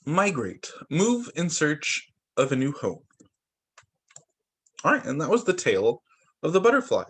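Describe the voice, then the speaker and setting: A young male teacher reads picture books aloud over a video call, his slightly high voice rising and falling in lively swoops. There is a faint husky edge to his voice, and he keeps stopping to add small surprised comments. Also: a little slow. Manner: reads aloud with asides and exclamations. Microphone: computer mic on a video call.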